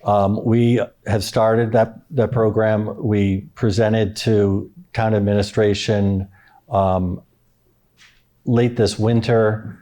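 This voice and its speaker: A man working for the town, answering a panel question haltingly with many ums and uhs. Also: lowish voice, level tone, warm tone. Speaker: town stormwater official. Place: public forum room.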